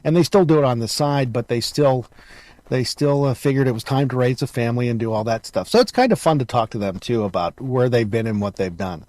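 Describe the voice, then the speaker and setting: A middle-aged man speaks as an interview host in a casual, conversational style. His pitch sits in the middle range, and the sound is dry with little room echo. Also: fairly quick, darkish tone.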